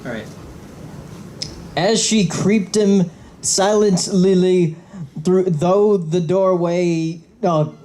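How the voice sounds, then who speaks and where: A man reading a story aloud carefully and haltingly on a live convention panel. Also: high-pitched, dark tone. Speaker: panel reader.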